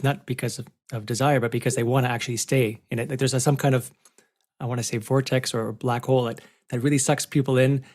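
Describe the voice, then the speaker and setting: Quick-talking man hosting a podcast. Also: medium pitch, a little mellow. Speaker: podcast host. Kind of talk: conversational interviewing.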